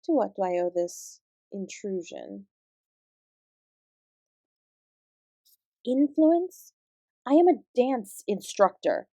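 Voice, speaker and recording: A woman's voice: medium pitch, big pitch swings, measured pace, mellow, dry recording. A woman acting in a roleplay audio drama as an apsara who works as an instructive, composed dance teacher. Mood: guarded, defiant. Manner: encouraging, instructive, addressing a class. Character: female apsara dance teacher, warm, encouraging, defensively composed.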